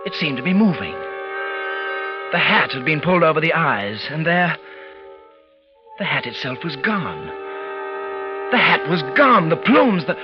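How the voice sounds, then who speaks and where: A dread-filled 1940s radio suspense narrator. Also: male voice, a little high, very animated. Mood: rising dread. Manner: dramatic, deliberate, halting phrases. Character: tense man recounting a night of mounting terror. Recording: muffled vintage 1940s radio recording, music behind.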